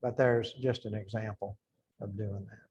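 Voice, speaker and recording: A man's voice: on the low side, steady tone, moderate pace, darkish tone, husky, lo-fi sound. A middle-aged man giving an informal engineering lecture, thinking aloud and restarting phrases as he works through numbers.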